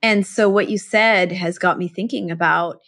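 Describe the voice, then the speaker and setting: A woman's voice: fairly low pitch, fairly even intonation, normal speed, fairly bright tone. A woman hosting a podcast, chatting enthusiastically and using plenty of casual fillers. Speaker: podcast host. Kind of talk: casual conversational interview.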